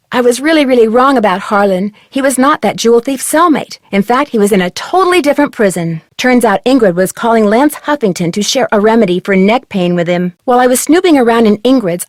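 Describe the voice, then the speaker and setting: A woman's voice: a little high, no echo, clean studio sound, close to an everyday voice. A woman playing an earnest, inquisitive teenage girl detective in a video game, chatting in articulate, conversational lines. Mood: friendly, chatty, then intrigued, serious.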